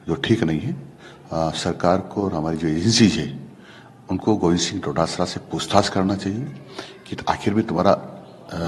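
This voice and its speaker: A man giving a halting, accusatory press statement. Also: deep voice. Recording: reverberant hall, faint background noise.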